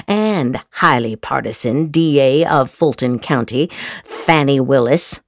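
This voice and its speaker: A woman giving a theatrical, sarcastic dramatic reading of political posts as a podcast host, with exaggerated emphasis and drawn-out words. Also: deep voice, no room sound, clean studio sound.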